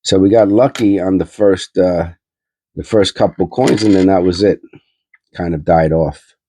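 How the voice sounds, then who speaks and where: Congested man with a very deep voice. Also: unhurried, warm tone, dry recording. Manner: rambling, filler-heavy, thinking aloud. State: congested, nasal from a cold. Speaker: coin-hunting channel host. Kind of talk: casual coin roll hunting commentary.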